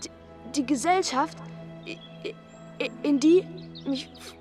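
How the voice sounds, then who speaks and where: An actor playing an excitable, cynical schoolboy with a stammer and a theatrical, florid way of talking in a German radio drama. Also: male voice, very high voice, unhurried, faint music behind. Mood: feverish, fascinated disgust.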